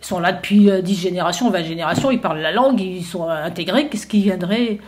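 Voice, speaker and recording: A woman's voice: on the low side, quick pace, darkish tone, natural voice. A woman voicing a stubborn shepherd who defends his homeland, indignant and incredulous, with lots of hesitations. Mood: puzzled.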